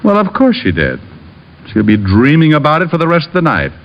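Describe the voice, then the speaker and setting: A man's voice: a little high, wildly swooping pitch, fairly quick. A man playing a smug, playful private detective in an old-time radio drama. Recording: muffled vintage radio, faint noise.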